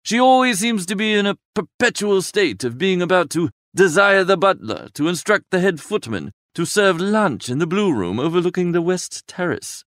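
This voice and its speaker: A man reading a first-person literary story aloud as an expressive narrator. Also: sits high, normal speed, hardly any pauses, dry recording, clean studio sound. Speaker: audiobook narrator.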